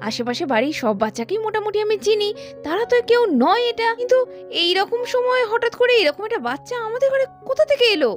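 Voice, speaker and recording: A woman plays a busy, grumbling but affectionate mother in a children's doll cartoon. Her very high voice swoops up and down as she chides and coaxes. Soft music plays underneath. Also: very animated, fast talker. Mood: puzzled. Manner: chatty, scolding, coaxing.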